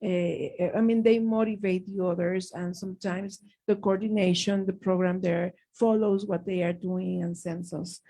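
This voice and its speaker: Husky, creaky-voiced middle-aged woman. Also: lowish voice, steady tone, moderate pace, few pauses, warm tone. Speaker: teacher, seminar participant. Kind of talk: conversational seminar comment.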